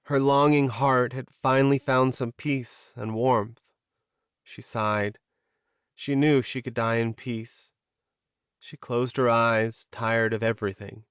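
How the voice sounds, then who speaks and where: A man's voice: mid-range voice, fairly even intonation, takes it slowly, fairly bright tone, clean tone, no room sound, lo-fi sound. Very dry-sounding male audiobook narrator. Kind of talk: scripted dramatic fiction narration.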